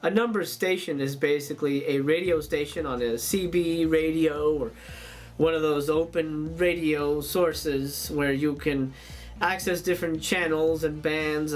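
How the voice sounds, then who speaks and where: Higher-pitched man hosting a rambling web show. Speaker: YouTube show host. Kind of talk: casual monologue to camera.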